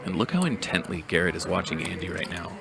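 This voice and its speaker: Low, gravelly man narrating poker analysis. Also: expressive, fast talker, a crowd in the background. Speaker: poker commentator. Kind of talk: scripted hand breakdown narration.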